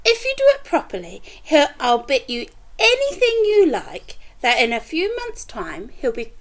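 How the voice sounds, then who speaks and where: Storybook older male neighbour, played by a woman: confident, eager, instructive, emphatic, high and very animated. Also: moderate pace. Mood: earnest, instructing.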